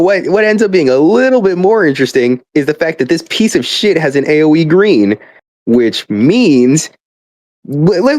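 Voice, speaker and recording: A man's voice: fairly high pitch, darkish tone, dry recording. A man ranting casually about a video game, exasperated and emphatic, swearing often and repeating words as he builds his points. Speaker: gamer.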